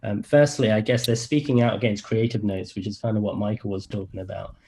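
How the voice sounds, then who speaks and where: A man moderating a casual panel, thoughtfully gathering up and summing up what the others have said between frequent ums. His voice is fairly low and mellow and rather dark in tone. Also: fairly even intonation, a little fast, slight background noise. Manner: thoughtful, picks up and sums up points, frequent ums.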